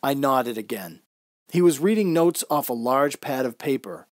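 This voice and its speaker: A man's voice: medium pitch, expressive, normal speed, no room sound. Man narrating a first-person story, close-miked. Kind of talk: scripted first-person story narration in old-time radio manner.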